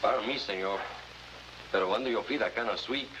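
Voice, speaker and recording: An actor playing a cheerful, romantic Latin American prisoner with a Spanish accent, polite and florid in an old crime drama. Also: male voice, fairly low pitch, fairly quick, natural voice. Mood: amiable, earnest. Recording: noisy, hissy old 1950s drama soundtrack.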